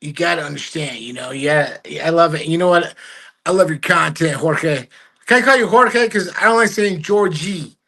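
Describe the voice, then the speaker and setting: A man's voice: a little high, moderate pace, gravelly, pushed and bright. A man whose first language is Spanish, a guest on a casual sports podcast over a video call, gushing with thanks and joking around.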